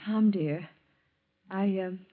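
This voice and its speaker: A woman playing a fretful, doting middle-aged mother in a 1940s radio drama, fussing and hesitant, with a breathy voice. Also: mid-range voice, slow, lightly acted. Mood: anxious, soothing. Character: fretful, doting mother and long-suffering wife. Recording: muffled 1940s radio recording.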